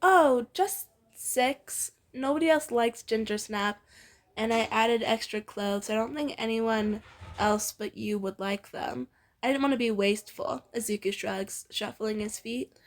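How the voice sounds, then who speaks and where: Young woman w a highish voice reading a story out loud, playful n giggly. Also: unhurried. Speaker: amateur fan fiction reader. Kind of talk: informal read-aloud with a friend.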